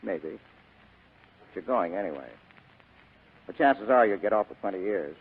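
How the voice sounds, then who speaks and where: An American actor playing a hard-boiled, cynical private detective in a 1940s radio drama, with a brusque, sardonic manner. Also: male voice, on the low side, animated, unhurried, full character voice. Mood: grimly resolute. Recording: raspy narrow-band old radio recording.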